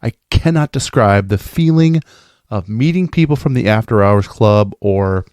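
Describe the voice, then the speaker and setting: American podcaster guy, kinda low voice, super enthusiastic fan message, casual, no room sound. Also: a little slow, clean tone, dry recording, clean studio sound. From Minnesota, USA. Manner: excitable, gushing. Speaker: podcaster sending in fan feedback.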